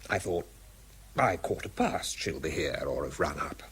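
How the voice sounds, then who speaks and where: A man's voice: rumbling low, lively intonation, a little slow, fairly bright tone, breathy edge, heavily acted. An English actor playing a world-weary, bitter man who struggles with drink, narrating and speaking tersely in a radio drama. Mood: weary, tense. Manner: dry, terse, understated.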